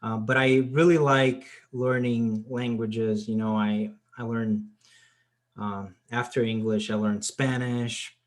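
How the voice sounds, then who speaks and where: A man's voice: mid-range voice, steady tone, slow pace. A man whose first language is Portuguese, hosting a casual discussion in English and talking with frequent fillers and restarts. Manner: hesitant, many fillers and restarts.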